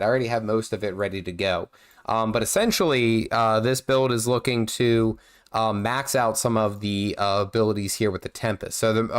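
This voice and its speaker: A man with a nasal, under-the-weather voice, rambling through casual livestream commentary with lots of fillers and restarts while he plays. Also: lowish voice. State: nasal from feeling unwell. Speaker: gaming livestream host.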